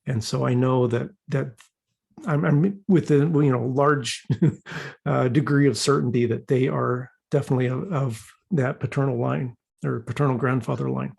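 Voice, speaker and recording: A man with a husky, creaky voice explains something informally to a group. He is hesitant, restarting and repeating words as he looks for the right phrasing. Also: mid-range voice, steady tone, moderate pace, darkish tone. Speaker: class participant presenting an example.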